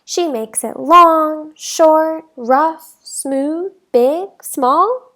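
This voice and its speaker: High-pitched woman reading a storybook slowly. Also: a bit bright. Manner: deliberate, emphatic phrasing for young listeners. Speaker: teacher reading a picture book aloud. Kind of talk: expressive children's storybook read-aloud.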